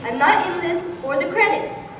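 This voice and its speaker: A woman's voice: extremely high pitch, normal speed, a loud crowd. A woman playing a cocky, dismissive hotshot in an amateur sci-fi audio drama, in a noisy, echoey hall. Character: cocky, bored female hotshot drinking in a space bar. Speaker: audience volunteer voice actor. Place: large convention hall, live on stage. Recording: very echoey, noisy convention hall, distant mic.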